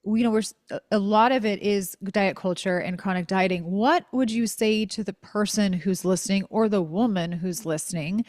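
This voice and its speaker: A woman hosts a podcast conversation in a mid-pitched voice, recorded dry and close. She talks informally, choosing words with care and often rephrasing herself. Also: normal speed, darkish tone, dry recording. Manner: careful hedging, self-correcting. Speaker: podcast host. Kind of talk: conversational interview.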